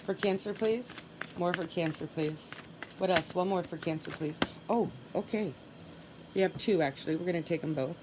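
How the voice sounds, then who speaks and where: A woman with a low voice and a congested, stuffy nose gives a solo tarot reading. She talks calmly and murmurs the same soft requests over and over as she draws cards. A steady hiss of noise sits under the recording. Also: normal speed. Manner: calm, softly repeats requests while drawing cards. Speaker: tarot reader.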